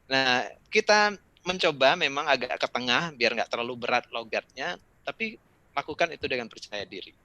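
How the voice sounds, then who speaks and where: A middle-aged man from Indonesia giving relaxed, conversational advice over a video call, with fillers and asides. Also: medium pitch, moderate pace, no echo. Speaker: speaker answering questions in an online session. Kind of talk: casual talk and advice.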